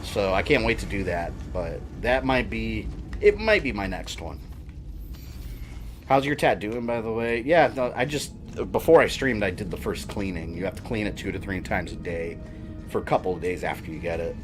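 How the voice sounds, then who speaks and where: A man's voice: lowish voice, animated, moderate pace, music in the background. A man in his thirties chatting casually and rambling while he streams a video game. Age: 30s. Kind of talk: casual chat while gaming.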